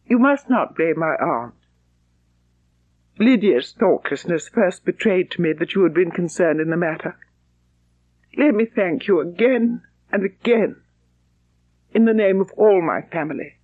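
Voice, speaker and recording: A woman's voice: fairly low pitch, animated, a little slow, pauses often, no room sound, phone-quality sound. A woman voicing a spirited, well-bred young lady in an audiobook, polite, sincere and self-possessed. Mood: earnest gratitude. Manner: polite, earnest. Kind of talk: audiobook character acting.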